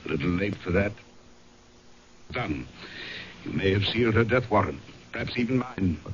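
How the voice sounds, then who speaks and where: An actor playing a tormented, darkly sardonic aristocrat in an old-time radio drama, speaking in a formal, accusing way. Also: male voice, lowish voice, animated, normal speed, natural voice. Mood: grave, ominous. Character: bitter, tormented aristocrat betrayed by his wife, darkly sardonic. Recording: muffled, noisy old radio broadcast.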